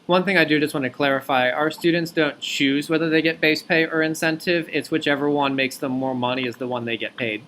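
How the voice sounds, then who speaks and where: Slightly high-pitched male interviewer, structured explainer. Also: fairly even intonation, brisk, few pauses, a bit bright, a little hiss or hum, low-quality recording. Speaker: company recruiter interviewing an applicant by phone. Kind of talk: explanatory job interview talk.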